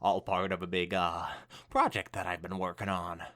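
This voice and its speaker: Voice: low voice, little pitch movement, moderate pace, fairly bright tone, voice pushed down. A reader voicing a flustered, evasive female unicorn pony, a guilty bookworm who stalls and draws words out.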